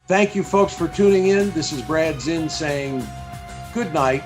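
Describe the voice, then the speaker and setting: A man hosting a radio event over a video call, telling stories in a chatty, folksy and halting way. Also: fairly high pitch, faint music behind. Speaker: interviewer and radio event host. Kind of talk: casual reminiscing on a video call.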